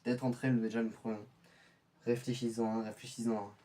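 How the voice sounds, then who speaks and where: A man's voice: mid-range voice, flat delivery, fairly quick, mellow, far from the mic, close to an everyday voice. A man playing an undercover infiltrator who mutters his plans to himself. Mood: pensive. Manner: muttering to himself, thinking aloud. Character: male undercover infiltrator planning his cover. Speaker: infiltrator character. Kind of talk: scripted in-character monologue.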